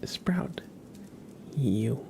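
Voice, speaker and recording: A man hosting an ASMR livestream, chatting gently and casually in a soft, breathy voice with frequent pauses. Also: mid-range voice, expressive. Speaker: livestream host.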